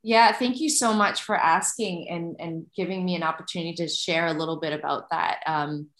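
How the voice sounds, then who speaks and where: A woman in her thirties from Malaysia who grew up in Canada, speaking as an interview guest. She talks in a lower register, chatty and open, often restarting her sentences. Her voice carries an echoey room sound. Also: fairly even intonation, normal speed. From Malaysia, raised in Canada. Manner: chatty, warm laughs, many restarts. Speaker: podcast guest. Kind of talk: conversational interview.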